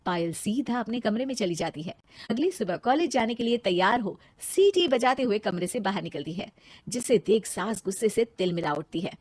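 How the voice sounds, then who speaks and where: Expressive woman voicing cartoon roles. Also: mid-range voice, normal speed, breathy edge, dry recording. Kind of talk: cartoon story voice acting.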